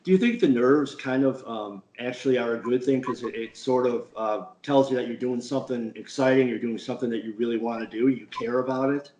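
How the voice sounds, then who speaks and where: A man's voice: mid-range voice, brisk, few pauses, darkish tone, echoey room, a little hiss or hum, rough recording. A man hosting a casual interview, chatty and complimentary, often restarting his sentences. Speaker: interview host.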